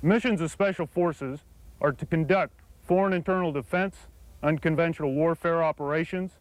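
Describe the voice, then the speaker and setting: A man, an army captain, delivers a formal, scripted briefing about his unit. His delivery is crisp, measured and official. His voice sits a little high, and the recording is dry and close. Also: no room sound, rough recording.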